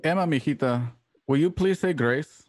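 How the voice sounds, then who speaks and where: A man playing a gentle, grave father in a radio drama, soft and measured. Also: a little high, close to an everyday voice. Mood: grave, tender. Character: gentle, grave father using Spanish endearments. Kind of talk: radio drama read-through.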